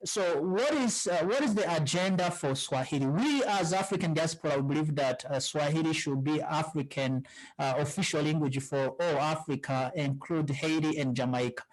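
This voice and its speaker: A man's voice: a little high, moderate pace, rough recording. A man hosting an interview, asking long questions with many hesitations and fillers. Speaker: interview host.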